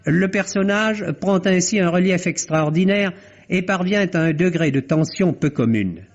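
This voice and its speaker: Husky, higher-voiced male film critic on vintage radio. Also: expressive, moderate pace. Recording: dull vintage radio recording.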